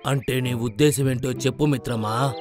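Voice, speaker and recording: A man's voice: medium pitch, fairly even intonation, moderate pace, a little mellow, natural voice. Guy voicing a regal lion king in a kids cartoon, confiding, music behind. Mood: pleased, trusting. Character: powerful lion king, trusting toward his crony. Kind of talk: children's cartoon story acting.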